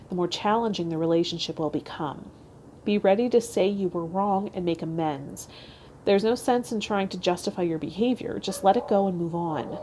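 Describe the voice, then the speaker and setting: A woman's voice: fairly low pitch, mellow, a little hiss or hum. A woman hosting a tarot vlog, reading aloud and talking to her viewers. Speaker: tarot channel host. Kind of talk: reading card meanings aloud and casual vlog talk.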